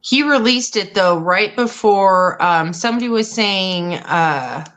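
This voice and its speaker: A woman's voice: mid-range voice, expressive, slow, a bit bright. A woman hosting a casual live chat, rambling and trailing off as she talks, from Colorado. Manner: chatty, rambling, trails off mid-sentence. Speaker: live stream host.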